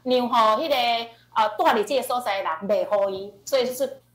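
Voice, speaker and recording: Female teacher, Taiwanese accent, slightly high pitch, explanatory and repetitive for emphasis; roomy, echoing recording. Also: a little fast. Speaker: teacher answering questions on radio drama. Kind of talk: informal online question-and-answer explanation. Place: online video class. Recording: echoey online class audio.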